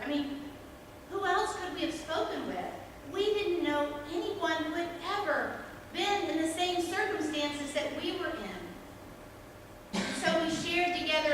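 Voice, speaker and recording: A woman playing Elizabeth, a devout and earnest expectant mother, in a deliberate, emphatic first-person church monologue. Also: sits high. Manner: deliberate, emphatic, with long pauses. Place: church sanctuary. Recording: echoey church hall, distant mic, background noise.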